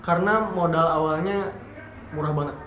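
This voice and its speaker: A twenty-year-old man answering interview questions softly, his sentences trailing off. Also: on the high side, normal speed, muffled recording. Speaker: interviewee, student. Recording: echoey room, noisy background.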